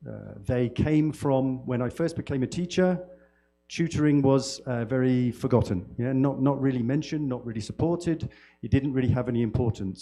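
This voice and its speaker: A man from the United Kingdom giving a university lecture as a guest speaker, with a loud voice and a friendly, halting delivery full of fillers and restarts. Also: medium pitch. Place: lecture hall. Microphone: handheld mic.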